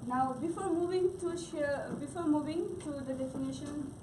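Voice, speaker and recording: A woman's voice: high voice, moderate pace. A young woman from Nepal whose first language is Gurung, speaking with a Nepali accent and giving a hesitant class presentation full of fillers and restarts. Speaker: student presenter. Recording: echoey room from far off, noisy.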